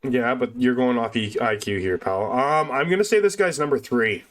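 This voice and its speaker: A man's voice: medium pitch, normal speed. Reaction host, male, casual and teasing, loose delivery. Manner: joking, teasing, swears freely. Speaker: reaction streamer.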